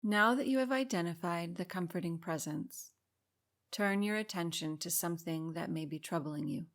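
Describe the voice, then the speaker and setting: A woman leading a guided meditation in a calm, soothing, unhurried way, pausing between short instructions. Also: on the low side. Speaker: meditation guide and podcast host.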